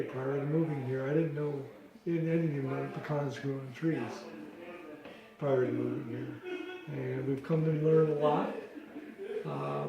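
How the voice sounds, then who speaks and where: A middle-aged American man from Detroit giving earnest, deliberate explanations in an informal interview. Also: on the high side. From Detroit, United States. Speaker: interviewee, ministry founder. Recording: roomy echo with clear background noise.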